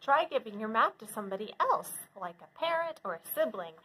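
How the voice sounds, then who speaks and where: A woman's voice: a little high, very animated, a little fast, bright, forceful tone, rough recording. A woman presenting as a children's librarian, explaining things to young viewers in simple steps. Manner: simple, clearly phased step-by-step explaining. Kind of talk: instructional talk for children.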